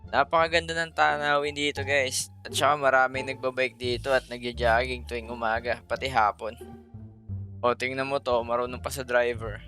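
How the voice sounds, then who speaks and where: A man's voice: mid-range voice, flat delivery, moderate pace, bright, forceful tone. A Filipino man doing a casual, playful vlog voice-over, teasing and chatting straight to his viewers. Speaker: motovlogger narrating own trip. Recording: music behind the voice-over.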